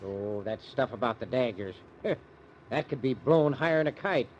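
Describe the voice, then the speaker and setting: An actor with an American accent playing a folksy, scheming small-town sheriff in an old-time radio drama, with a rustic drawl. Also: male voice, mid-range voice, lively intonation, moderate pace, natural voice. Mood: sly, insinuating. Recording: muffled, noisy old radio recording.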